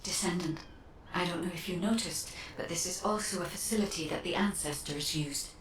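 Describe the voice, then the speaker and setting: A woman's voice: on the low side, brisk, reverberant, recorded from a distance, a little hiss or hum, lightly acted, poor audio. An actress playing a composed female guide in a video game, speaking formally and precisely with analytical focus. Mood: serious, urgent. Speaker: actor voicing a game character. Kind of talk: scripted game dialogue.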